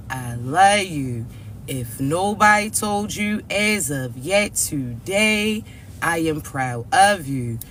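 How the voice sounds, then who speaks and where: A man with a raspy, hoarse voice, giving a slow, emphatic spiritual message straight to his viewers as the channel's host. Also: high voice, fairly bright tone, slight background noise. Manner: repeats "all right?" and "chosen ones", slow and emphatic. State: hoarse from allergies. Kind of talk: direct-to-camera motivational spiritual message.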